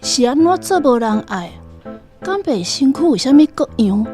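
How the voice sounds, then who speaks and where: Radio play: prying village woman, high and mellow, puzzled. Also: big pitch swings, normal speed, faint music behind. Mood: curious. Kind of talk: radio drama acting.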